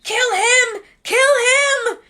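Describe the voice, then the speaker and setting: Casting: a woman doing a cruel storybook dark lord, hissing, then screeching orders, sharp and bright. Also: on the high side, lively intonation, a little slow. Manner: hissing, menacing, shrieking commands. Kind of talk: reading a novel aloud.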